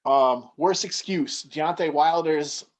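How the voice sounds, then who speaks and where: A man's voice: fairly high pitch, slow pace. A man hosting a podcast, chatting casually and easily with a guest. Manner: laid-back and friendly, peppered with "man" and "dude". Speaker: podcast host. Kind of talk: casual interview chat.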